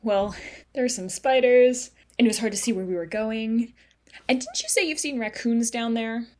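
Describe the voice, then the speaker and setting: A woman's voice: a little high, expressive, normal speed, natural voice. A woman playing a blustering but easily spooked young friend in an audio play. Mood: sheepish, nervous.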